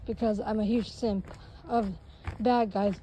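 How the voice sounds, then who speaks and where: A woman's voice: mid-range voice, steady tone, unhurried, mellow, a little hiss or hum. Hesitant young woman rambling while walking. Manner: hesitant, rambling, with many restarts. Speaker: vlogger. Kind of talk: casual walking vlog chat. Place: outdoors on a sunny paved path. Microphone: phone held while walking.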